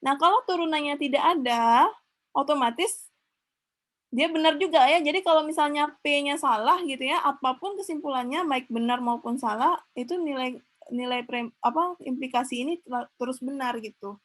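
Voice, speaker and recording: A woman explains a math proof in a relaxed lecture, often pausing with fillers and correcting herself mid-sentence. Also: high-pitched. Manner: thinks aloud, frequent fillers and self-corrections. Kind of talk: informal lecture with screen notes.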